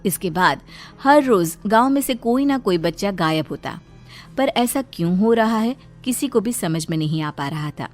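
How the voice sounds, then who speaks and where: A woman's voice: medium pitch, expressive, moderate pace, a little mellow, soft background music. A woman narrating a scripted children's story as storyteller.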